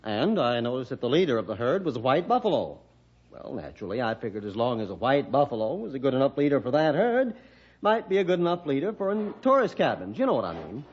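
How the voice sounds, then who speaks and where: Defensive Western cabin owner, American, hesitant, lively swings in pitch, old radio serial. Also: male voice, fast talker, husky. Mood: pleased. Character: defensive male tourist cabin owner, ex-rodeo roper. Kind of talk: 1960s radio drama acting. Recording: dull vintage radio recording.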